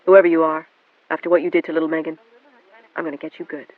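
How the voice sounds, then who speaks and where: A woman's voice: sits low, flat delivery, racing, lightly acted. A woman reading an audiobook voices an exhausted man muttering a grim threat. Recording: narrow phone-like band, dry.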